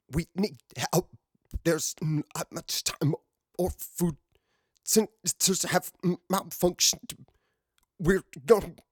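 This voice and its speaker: Audiobook role: distressed female scientist performed by a man, high, halting, pleading, phrases broken by strain. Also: dry recording, studio quality. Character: distressed woman geologist sending a broken distress call.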